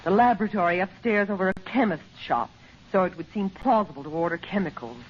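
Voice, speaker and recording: A woman's voice: fairly low pitch, lively intonation, fast talker, natural voice. An actress playing an anxious, tender mother in an old-time radio drama. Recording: muffled old radio recording, faint noise.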